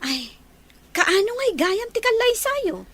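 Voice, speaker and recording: A woman's voice: very high voice, big pitch swings, slow, fairly bright tone, heavily acted. A woman playing a kind, sympathetic older sister in an audio drama. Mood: pleased. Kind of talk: Ilocano audio drama acting.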